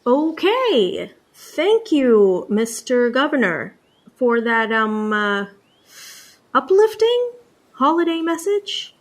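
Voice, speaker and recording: A woman's voice: sits high, big pitch swings, slow pace. A woman playing a wry, reluctant reporter in a comedy sketch.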